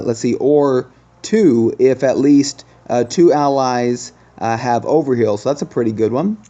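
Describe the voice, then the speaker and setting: Man, gaming channel host, mellow tone, conversational, thinking aloud, medium pitch, dull lo-fi recording. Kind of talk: casual game review commentary. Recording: dull, lo-fi sound.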